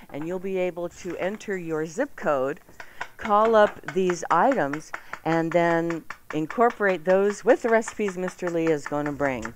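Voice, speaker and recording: Low-voiced woman hosting live radio. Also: slight background noise. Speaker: radio show host. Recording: music bed behind 1990s radio broadcast. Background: music.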